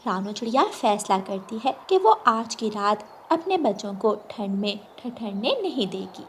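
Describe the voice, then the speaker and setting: A woman's voice: medium pitch, fairly bright tone, slight background noise. A woman reading scripted narration for a children's cartoon moral story.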